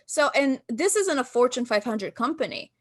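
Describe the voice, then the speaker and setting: A woman's voice: a little high, lively intonation, normal speed, a bit bright. A woman from Albania, in her thirties, telling stories in a casual podcast interview and acting out quotes from herself and others. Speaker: guest, marketing business founder.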